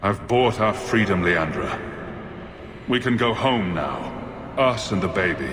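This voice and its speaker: Very deep, echoing grave father. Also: lively intonation, a little slow. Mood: solemn, tender. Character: weary, remorseful father and mage, grave and loving. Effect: heavy reverb. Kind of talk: video game voice acting. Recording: long echoing reverb, clear ambient noise.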